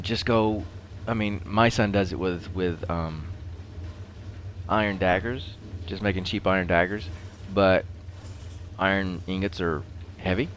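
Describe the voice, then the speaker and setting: Deep-voiced man chatting over a game. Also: slight background noise. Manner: rambling, talks to himself. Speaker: gaming video host. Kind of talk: casual game commentary while playing.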